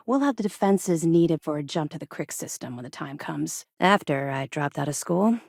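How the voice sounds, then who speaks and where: Low-voiced, firm woman space officer. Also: vocal fry, no echo, studio quality, heavily acted. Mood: candid, reflective. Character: tough, driven female military officer in a space game. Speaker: first mate and mission handler. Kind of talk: video game dialogue acting.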